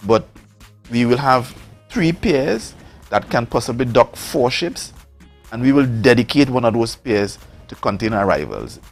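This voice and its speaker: A man's voice: mid-range voice, sing-song, unhurried. Man, Trinidad and Tobago accent, politician on a morning show, repeats for emphasis, faint music behind. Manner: emphatic, repeats words for stress. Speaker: politician, guest. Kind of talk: political talk show discussion.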